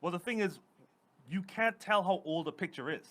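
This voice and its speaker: A man from Trinidad with a Trinidadian accent, co-hosting a podcast in casual comedic chat, joking and animated. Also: high voice, moderate pace. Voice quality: animated, joking, repeats words. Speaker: podcast co-host.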